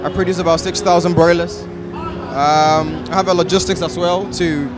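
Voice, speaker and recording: A man's voice: fairly high pitch, fairly even intonation, normal speed, low-quality recording. A man from Ghana, an agriculture entrepreneur, explaining his work steadily in a red carpet interview. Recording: music and crowd behind.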